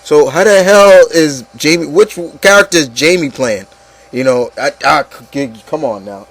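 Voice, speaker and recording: A man's voice: a little high, moderate pace, creaky. A man hosting an opinion show, chatting informally about movies and addressing the listeners in a casual, opinionated way.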